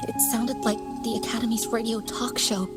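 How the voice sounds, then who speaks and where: A woman plays a young, earnest academy student in a video game. Her voice is somewhat high. Loud music runs under her words. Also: flat delivery, a little fast, lightly acted. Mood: puzzled.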